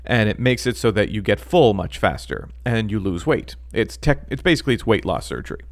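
Man, low voice, casual podcast chat, halting with ums, dry close recording. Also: big pitch swings, no room sound. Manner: halting, many ums and restarts. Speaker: podcast co-host.

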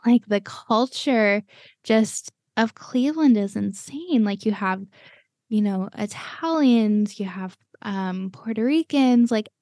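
A woman's voice: medium pitch, darkish tone, no room sound. Young american woman hosting a podcast, rambly and chatty, lots of "you know", dry mic. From United States. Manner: chatty, many fillers and laughs. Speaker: podcast host. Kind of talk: casual chat.

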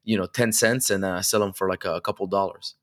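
A young man from Egypt and Dubai, an interview guest telling his story, energetic and chatty with many fillers. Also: deep voice, fast. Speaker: guest, online seller. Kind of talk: casual interview chat.

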